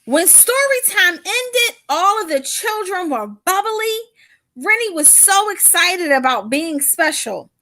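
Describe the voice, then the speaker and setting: A high, bright woman's voice with a sharp, ringing tone. She is a children's author who reads aloud with animated, cheerful emphasis, then chats about her book just as upbeat and motivational. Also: slow pace, dry recording, poor audio.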